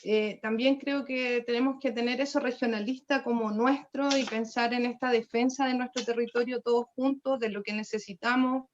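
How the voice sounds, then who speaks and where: A woman's voice: on the high side, steady tone, normal speed, few pauses, noisy background. Earnest Chilean woman, slightly dull audio. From Los Lagos region, Chile. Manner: earnest, passionate. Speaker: panelist. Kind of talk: panel discussion.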